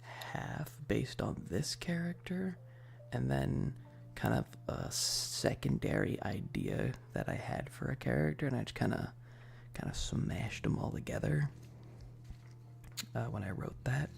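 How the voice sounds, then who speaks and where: Gravelly, unhurried man in ASMR chat. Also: medium pitch, animated, darkish tone, slight background noise. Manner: hesitant, rambling, frequent pauses; often drops into breathy, whispery speech. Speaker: ASMR streamer. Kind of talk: soft casual ASMR livestream chat.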